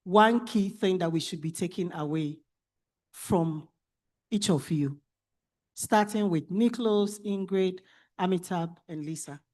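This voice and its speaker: A woman moderating a conference panel, inviting each speaker in a measured way. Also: on the low side, darkish tone.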